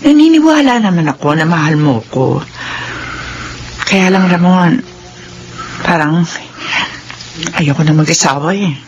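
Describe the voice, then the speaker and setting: Filipino mom in a radio drama, caring but worried, really animated pitch, slight hiss. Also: female voice, unhurried, long pauses, muffled recording. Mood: reserved. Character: worried, caring widowed-age mother of grown children.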